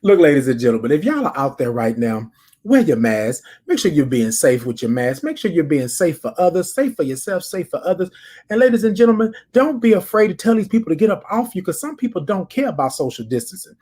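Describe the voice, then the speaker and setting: An American man with a fairly high-pitched voice talks as a podcast host. He speaks casually and directly to his listeners, as if checking in with them. He is emphatic, often repeating a phrase to press the point. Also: big pitch swings, fairly quick, mellow, breathy edge. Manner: addresses listeners directly as an audience. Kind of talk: casual chatty check-in and storytelling.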